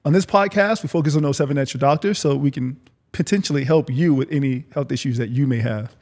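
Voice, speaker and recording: A man's voice: medium pitch, fast talker, darkish tone. A man hosting a podcast in a casual, conversational interview style. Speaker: podcast host.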